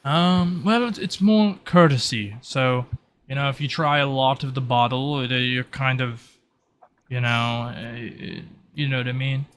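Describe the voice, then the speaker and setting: A man with a bright, keen-edged voice runs a tabletop roleplaying game. He improvises his narration in a halting, rambling way, often correcting himself. Faint noise sits behind the voice. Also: medium pitch. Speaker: game master.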